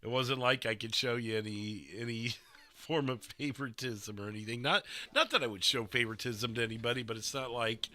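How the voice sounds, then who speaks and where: A man chatting casually with his stream viewers, his voice a little rough and stuffy, rambling and laughing often. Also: mid-range voice, brisk, bright, forceful tone. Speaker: livestreamer playing a roleplay dispatcher.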